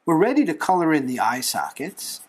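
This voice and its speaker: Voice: mid-range voice, wildly swooping pitch, fairly quick, slightly rough. A tutorial host talking through a drawing step by step in a playful, encouraging manner. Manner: playful, light humour, encouraging.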